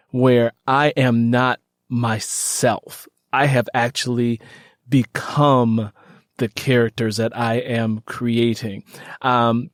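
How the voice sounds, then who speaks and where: A man's voice: medium pitch, no echo. Male podcast host, dry close sound. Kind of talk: conversational podcast interviewing.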